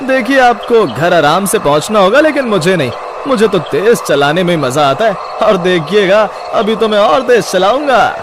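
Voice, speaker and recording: A man voicing a pushy, sly auto-rickshaw driver with a teasing, unsettling manner in an animated horror story. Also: high voice, moderate pace, hardly any pauses, background noise. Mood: gleefully reckless. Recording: loud music behind the dialogue.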